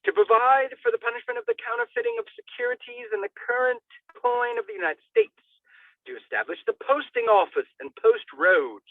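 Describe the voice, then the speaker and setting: A man's voice: high-pitched, dry recording. Theatrical man reading aloud through tinny phone-like sound. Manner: declamatory, stumbles over words and rereads. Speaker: reader of a public document.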